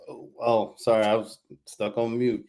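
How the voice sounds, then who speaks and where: A man's voice: mid-range voice, little pitch movement, measured pace, warm tone. A man in his late twenties introducing himself as a guest on a video call, in an upbeat, polite way. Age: 20s.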